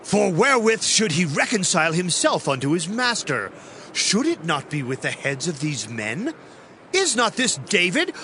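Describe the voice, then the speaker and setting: A man's voice: high-pitched, bright, forceful tone, a little hiss or hum, exaggerated voice. A man playing an angry, scornful Philistine prince in a dramatized scripture reading, declaiming with indignation.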